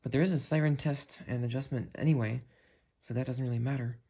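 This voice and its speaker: A man narrates a hands-on demonstration in a casual, unhurried way, thinking aloud as he tests things. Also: medium pitch, fairly even intonation, creaky, dark tone. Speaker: video creator demonstrating a weather radio.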